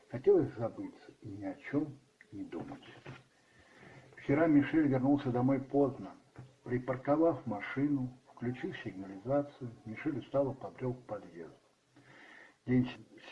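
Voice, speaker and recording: A man's voice: medium pitch, slight background noise. Theatrical male radio play narrator, dull recording. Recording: dull muffled sound with music behind. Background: music.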